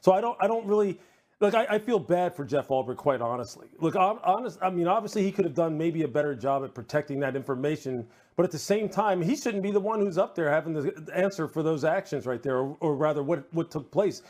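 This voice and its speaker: A man's voice: on the high side, animated, a little fast, a little mellow. A man giving earnest, discursive sports analysis on a TV panel, repeating phrases for emphasis as he thinks aloud. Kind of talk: unscripted TV panel commentary.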